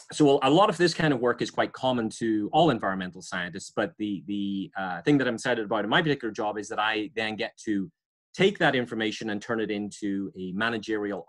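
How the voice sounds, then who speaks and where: A man in his forties, an environmental scientist, explaining his career to students over a video call and often pausing with uhs and restarts. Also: fairly low pitch, a bit bright. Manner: hesitant, many uhs and restarts. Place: home or office on video call, virtual background. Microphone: webcam or laptop mic on video call.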